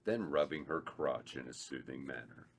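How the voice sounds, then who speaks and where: A man reads out the stage directions at a table read. His voice is very deep, and he keeps a plain, steady delivery. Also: normal speed, slight background noise. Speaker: narrator reading stage directions. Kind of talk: reading a script aloud.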